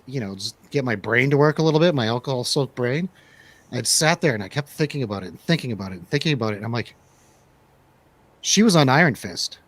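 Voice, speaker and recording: A man's voice: medium pitch, no echo. Male radio host with a dry, close sound. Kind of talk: casual movie review talk show.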